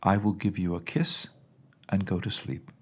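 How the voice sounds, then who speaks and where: A man's voice: low voice, fairly even intonation, moderate pace, breathy edge. A man voicing an earnest, indignant little girl princess in storybook dialogue, emphatic and pleading in a childlike way. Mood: pleading, tender.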